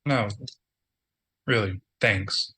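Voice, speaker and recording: A forceful, bright-edged voice for an actor playing a man down on his luck. He is sardonic and wry, speaking in halting deadpan in a naturalistic table read. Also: fairly low pitch, breathy edge, exaggerated voice. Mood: softened, grateful.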